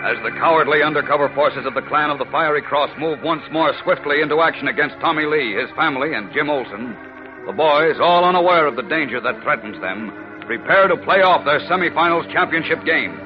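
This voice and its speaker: A man's voice: on the high side, lively intonation, brisk. A man giving suspenseful, emphatic scripted narration in a 1940s radio style on a dull old recording. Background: dramatic music.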